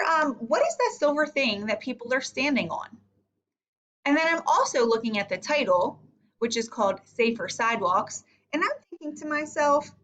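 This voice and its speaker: A woman's voice: high voice, fairly bright tone. A woman teacher giving a read-aloud lesson to young children, thinking aloud and guiding them with questions. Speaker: elementary reading teacher.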